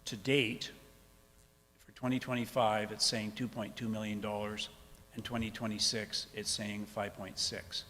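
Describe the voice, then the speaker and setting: A man chairing a council discussion, measured and procedural, careful when reading out figures. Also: fairly low pitch, flat delivery, bright, forceful tone. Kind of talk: formal meeting chairing. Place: council chamber. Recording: reverberant chamber with faint hum.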